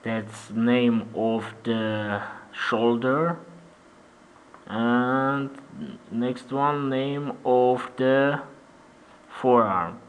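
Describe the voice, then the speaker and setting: Slow-talking Armenian-accented man tutoring. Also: medium pitch, fairly even intonation, smooth. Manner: halting, simple non-native phrasing with many "uh-huh" fillers. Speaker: 3D animation tutor. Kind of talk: screen-recorded software tutorial.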